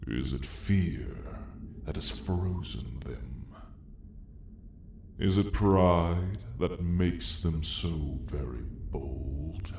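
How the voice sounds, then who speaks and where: A man voicing an ancient, arrogant dragon roused in his lair, speaking with slow, imperious menace in an ASMR roleplay story. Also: extremely low pitch, creaky, darkish tone, pitched below the performer's own voice, heavily acted. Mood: mocking, intrigued. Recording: echoing cavernous sound, faint noise.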